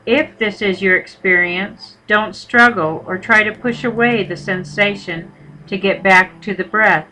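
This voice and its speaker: A woman guides a meditation in a bright, clearly forward voice at a middling pitch. She gives measured, unhurried instructions as a teacher would, leaving pauses between phrases. Music plays behind her. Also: rough recording.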